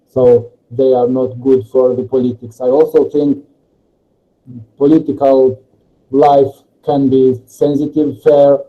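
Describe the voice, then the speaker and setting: A man, not an English speaker, hosting a casual discussion in halting, deliberate phrases. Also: medium pitch. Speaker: discussion host. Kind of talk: casual moderated panel discussion. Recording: dull, lo-fi sound.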